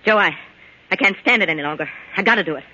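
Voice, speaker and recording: A woman's voice: medium pitch, lively intonation, rapid-fire, sharp tone, slight background noise. A woman playing a hard, scheming, suspicious murder plotter in a 1940s American radio thriller, speaking in a tense, clipped, menacing way. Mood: strained. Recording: muffled old-time radio recording.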